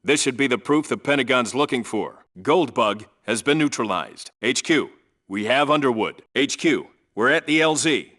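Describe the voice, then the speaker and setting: A man's voice: medium pitch, expressive, normal speed, fairly bright tone. A man voicing a tough, disciplined special forces squad leader in a military video game, clipped and authoritative. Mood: crisp, businesslike. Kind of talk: military video game voice lines, clipped radio reports and orders.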